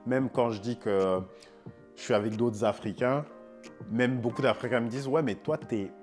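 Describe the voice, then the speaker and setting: A man's voice: medium pitch, moderate pace, darkish tone, faint music behind. A man from Congo, an artist, reflecting in a documentary interview.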